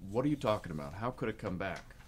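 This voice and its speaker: A man's voice: on the low side, animated, fast talker, slight background noise, lightly acted. A man acting in a comic radio play as a sardonic, unimpressed policeman, dry and sceptical in manner. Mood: dry, dismissive.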